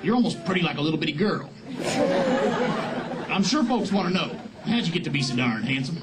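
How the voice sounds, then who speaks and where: A man puts on a sly, sardonic country show host with a raised voice and a folksy Southern drawl. He piles on wordy mock flattery with a crude edge. It is a live club sketch over a very loud laughing crowd. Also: on the high side, a little fast, heavy background noise, natural voice. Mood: mock flattering. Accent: American Southern. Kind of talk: live comedy sketch, mock celebrity interview.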